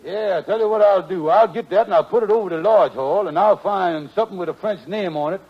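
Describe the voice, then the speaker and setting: A man's voice: high-pitched, quick pace. An actor playing a scheming, folksy man with ungrammatical speech, in 1940s radio comedy style. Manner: folksy, ungrammatical, hesitant openings. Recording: muffled, noisy 1940s radio recording.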